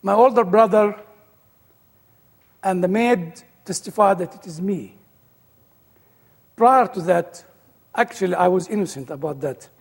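High-voiced Egyptian-British man in a hall. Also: measured pace, vocal fry, long pauses, breathy edge. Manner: dry humor, storytelling. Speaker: guest speaker. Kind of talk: personal testimony talk.

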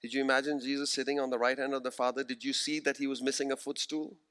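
A man in his forties preaching a sermon, earnest and emphatic, slowing down to repeat key phrases. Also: mid-range voice, little pitch movement, fairly bright tone, clean tone. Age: 40s.

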